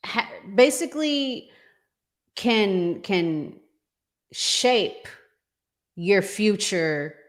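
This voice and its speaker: A woman hosting a relationship discussion in a conversational, deliberate way, pausing in the middle of her phrases. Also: medium pitch, lively intonation. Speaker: podcast host.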